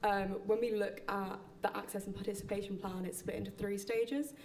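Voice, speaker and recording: A woman's voice: medium pitch, fairly even intonation, fairly quick, darkish tone, slight background noise. A young woman asking a long, friendly question, with many fillers. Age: young adult. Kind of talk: question from the audience.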